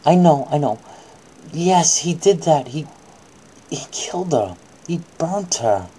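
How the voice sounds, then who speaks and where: Animated, soft-toned woman telling a bedtime story. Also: low voice, takes it slowly, slight background noise. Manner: soothing coaxing asides alternating with dramatic reading. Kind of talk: acted satirical bedtime story read to children, with asides.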